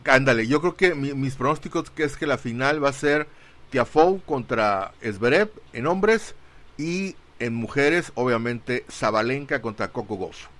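Radio co-host, mid-pitched man. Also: lively intonation, a little slow, a bit bright. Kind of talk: casual sports chat.

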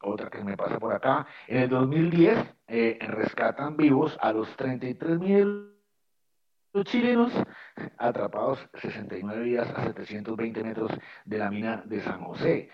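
A man's voice: medium pitch, lively intonation, normal speed. A man co-hosting a casual radio chat, playful and jokey. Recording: dull, distant sound.

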